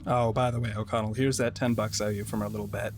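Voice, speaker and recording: A man's voice: fairly low pitch, level tone, fast, a little hiss or hum, natural voice. A man playing a breezy, casual sailor in an amateur audio drama. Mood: teasing. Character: breezy, teasing male sailor.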